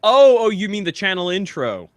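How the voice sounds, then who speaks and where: A man's voice: high voice, very animated, moderate pace, no room sound. A man chatting and bantering casually as a podcast co-host.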